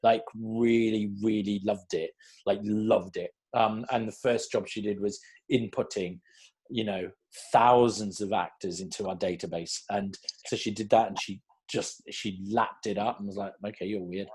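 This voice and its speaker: Man, casting director, mellow warm tone, rambling chatty answers. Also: fairly low pitch, normal speed, husky. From South Wales. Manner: chatty, rambling, animated storytelling. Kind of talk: online Q&A chat.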